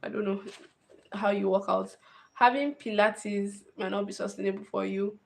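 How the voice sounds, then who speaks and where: A woman's voice: medium pitch, fairly even intonation, normal speed, vocal fry. A woman, talking casually to camera, thinking aloud and often repeating phrases. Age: 20s. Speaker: vlogger.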